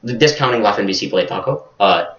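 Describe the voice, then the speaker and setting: A man's voice: fairly low pitch, expressive, quick pace, fairly bright tone. A man co-hosting a casual esports recap, talking like an enthusiastic fan with slangy exclamations. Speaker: co-host of an esports recap show. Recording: roomy, distant mic, dull lo-fi sound.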